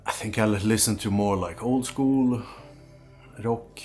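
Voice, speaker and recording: A man answering questions in a casual chat, giving short, quiet replies with some hesitation. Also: on the low side, measured pace, soft background music. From Sweden. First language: Swedish.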